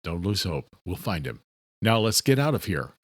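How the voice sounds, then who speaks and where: Man playing a low, protective, level-headed brother. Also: very animated, moderate pace, slightly rough, no echo, studio quality, full character voice. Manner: low, subdued, reassuring. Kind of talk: audiobook character acting.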